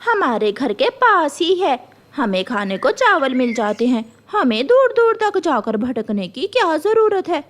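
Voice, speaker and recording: A woman voicing a lazy, scheming female crow in a cartoon, sly and excitable. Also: high-pitched, unhurried. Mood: dismissive.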